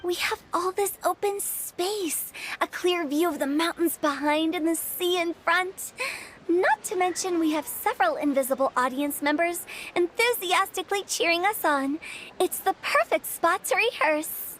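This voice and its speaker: A woman's voice: very high-pitched, moderate pace, fairly bright tone, slight background noise. A woman playing a mischievous, theatrical young funeral director in a video game, with a showy, teasing delivery. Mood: teasing, playful. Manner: showy, teasing, sing-song flourishes.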